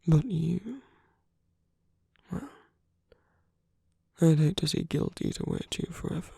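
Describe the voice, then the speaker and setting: Slow, husky, breathy seductive villain, played by a man. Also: fairly high pitch, expressive, mellow, dry recording, clean studio sound, exaggerated voice. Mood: seductive, persuasive. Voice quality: breathy, husky, often near whisper. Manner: slow, deliberate, intimate, teasing. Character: seductive, contemptuous villain, suave male romantic rival. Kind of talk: ASMR romance roleplay.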